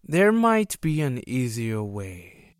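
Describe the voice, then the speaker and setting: A man's voice: mid-range voice, big pitch swings, slow, smooth, dry recording, studio quality. An actor playing an eager, tentative tech-minded male revolutionary in a naturalistic audio drama.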